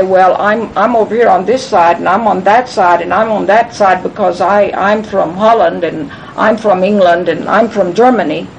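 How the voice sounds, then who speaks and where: Woman, rambling conspiratorial lecture, emphatic, repetitive restarts, faint rumbling noise. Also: poor audio. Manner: emphatic, repeats phrases, frequent restarts. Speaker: speaker answering questions at a gathering. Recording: low rumble noise in background.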